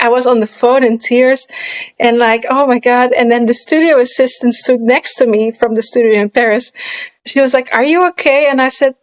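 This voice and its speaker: Dutch-accented woman, 30s, fairly high pitch; relaxed, rambling interview guest. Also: clear, resonant tone, rough recording. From Netherlands. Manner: chatty, searches for English words, many fillers. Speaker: guest, pole dancer and movement artist. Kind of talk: casual interview chat.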